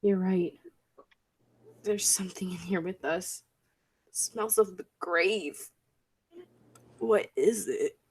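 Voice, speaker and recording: A woman's voice: on the low side, slow, long pauses, a little mellow, husky, dry recording, clean studio sound, exaggerated voice. A woman playing a suspicious, hot-tempered male time traveller in a radio play, with an urgent, demanding manner. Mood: uneasy. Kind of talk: 1940s radio play acting.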